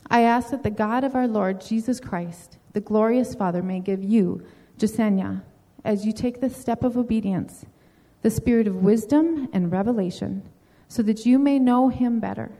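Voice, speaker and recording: A woman speaks earnest, encouraging words and reads a prayer aloud. Her mellow voice carries a long reverb, as if in a big hall. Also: on the high side, normal speed, few pauses. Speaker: mentor addressing a newly baptized woman. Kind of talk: encouraging message and read-out prayer.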